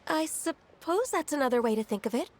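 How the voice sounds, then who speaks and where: A woman playing a gentle, helpful, refined young woman in a video game, speaking in a considerate, reassuring way. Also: high-pitched, expressive, brisk, slight background noise. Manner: considerate, reassuring, well-spoken.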